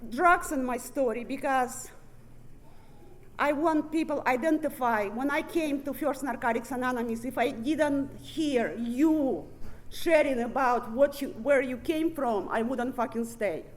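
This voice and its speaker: A woman with a Russian accent tells her recovery story to a room of listeners. She talks bluntly, swears freely and laughs at herself, her voice sitting a little high. A faint hiss runs underneath. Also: unhurried. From born in Ukraine, raised in Siberia. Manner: candid, profane, laughs often. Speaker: recovery meeting main speaker. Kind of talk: personal recovery testimony speech. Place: meeting room with audience.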